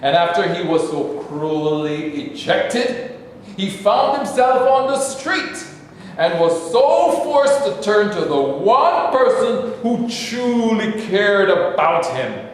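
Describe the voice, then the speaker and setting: Grandiose minister played by a man, high-pitched slow orator, long hall reverb. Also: sing-song, a little hiss or hum, exaggerated voice. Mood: solemn. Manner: oratorical, drawn-out swelling delivery. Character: male minister, grandiose and preachy. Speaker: actor in a staged reading. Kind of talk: stage reading of a sermon.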